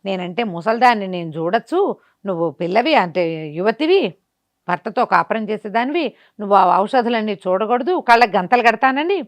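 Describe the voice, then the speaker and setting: A woman's voice: mid-range voice, animated, normal speed, lightly acted. Folk-tale role: a woman playing a glib, bossy old foster mother, coaxing and insistent.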